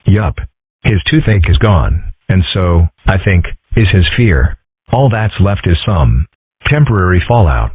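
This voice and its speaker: Casting: male actor for earnest young philosopher fox in a comic dub, deep-pitched, dry and articulate, dull lo-fi recording. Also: sing-song, a little slow, slightly rough, exaggerated voice. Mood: earnest, philosophical. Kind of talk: comic strip dub, character acting. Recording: muffled, dull, dry audio.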